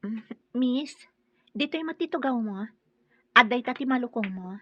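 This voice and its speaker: Actress as a dutiful, plucky young daughter-in-law, Ilocano Filipino accent, high voice, radio drama, dry and slightly dull recording. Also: female voice, lively intonation, normal speed, no room sound. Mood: obliging.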